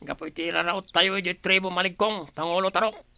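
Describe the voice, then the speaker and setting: A male actor as a loyal young warrior in a radio drama. The voice is high and piercingly bright, eager, with faint noise behind it. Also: steady tone, fast talker, vocal fry, full character voice. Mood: deferential. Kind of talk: Ilocano radio drama acting.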